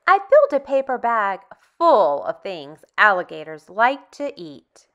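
A woman hosting a storytime for young children, reading and chatting in an animated, expressive, deliberate way. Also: mid-range voice, muffled recording. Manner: animated, expressive, deliberate phrasing with dramatic hushes. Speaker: storytime host. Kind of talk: children's read-aloud, addressing young children.

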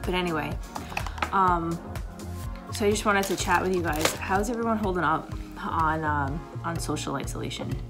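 Low raspy woman rambling over coffee at home, music playing behind. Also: normal speed. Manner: chatty, rambling with fillers. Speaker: vlogger, paramedic. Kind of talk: casual coffee chat to camera. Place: home kitchen, indoors.

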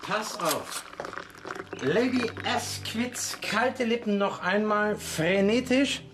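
An actor playing an earnest village constable and would-be author in a German radio play, bombastic and a little grumbly. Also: male voice, high voice, expressive, takes it slowly. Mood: melodramatic, earnest.

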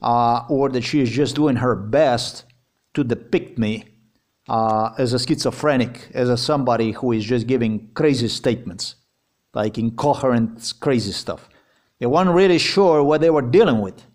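A man with a Slovenian accent speaks English as a second language, heated and insistent. His pitch sits in an ordinary middle range. He circles back over his points, repeats words and swears as he goes. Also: moderate pace, a little mellow. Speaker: vlogger. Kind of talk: agitated personal account.

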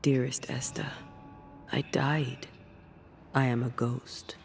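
Ghost woman, brooding, breathy, fry in the voice, measured old-fashioned phrasing, dramatic game. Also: rumbling low, steady tone, a little mellow, a little hiss or hum, heavily acted. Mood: solemn.

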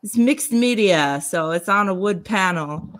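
A woman's voice: mid-range voice, animated, unhurried, over a noisy crowd. A woman in her thirties hosting a casual video chat about art, speaking into a desk microphone. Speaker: host and artist. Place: cluttered indoor studio. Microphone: desk microphone on a boom, video call.

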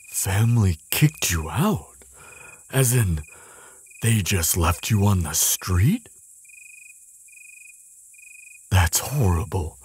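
Casting: male actor for a kindly, protective giant in an audio roleplay; very low, slow voice, tender and soothing. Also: darkish tone, breathy edge, heavily acted. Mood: sympathetic, concerned. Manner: tender, reassuring, polite, slightly hesitant. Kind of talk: roleplay audio drama, speaking soothingly to the listener.

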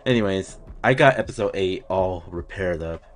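Young man, very deep voice, excitable, swears, reaction host, webcam, home room. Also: moderate pace. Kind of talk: casual chat.

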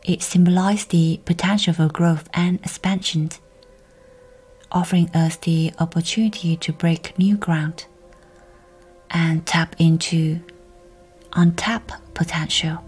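Female meditation guide, low-pitched, soft and whispery, gentle measured read over faint music. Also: steady tone, slightly rough.

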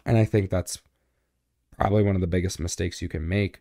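A young man co-hosting a podcast in a small home studio, with a deep, boomy voice and a relaxed, rambling way of talking full of fillers. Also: a little fast, gravelly, dry recording. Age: young adult. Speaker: podcast co-host. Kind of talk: casual chat. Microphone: studio mic on boom arm.

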